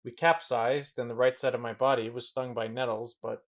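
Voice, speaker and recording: An actor playing a restless, observant young romantic, narrating in a measured, introspective way for an audio drama. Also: male voice, mid-range voice, little pitch movement, lightly acted. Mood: fond.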